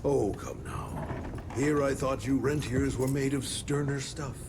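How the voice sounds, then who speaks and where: An actor playing a kindly frontier priest who speaks in a gentle, fatherly, sermonizing way. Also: mid-range voice, unhurried, noisy background, natural voice. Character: kindly folksy frontier padre, preachy.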